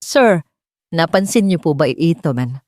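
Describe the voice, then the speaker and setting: Woman reader playing deferential, sharp-minded maid and mother, soft mellow tone, story narration. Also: deep voice, expressive, unhurried, no room sound, clean studio sound. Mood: eager, probing. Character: humble, sharp-minded housemaid, a determined mother.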